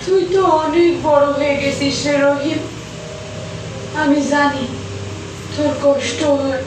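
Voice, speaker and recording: A woman's voice: high-pitched, fairly even intonation, takes it slowly, noisy background. A woman voicing a tender, anxious, poor mother in a puppet show, fretting over her child. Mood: weary, tender. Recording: very echoey, distant, loud music behind.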